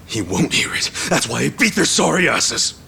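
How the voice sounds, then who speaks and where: A man playing a loyal, hot-headed yakuza ex-convict in a video game drama, with emotional, rough street talk. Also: mid-range voice, sing-song, normal speed, bright, forceful tone, slight background noise, heavily acted. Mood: sullen, defensive.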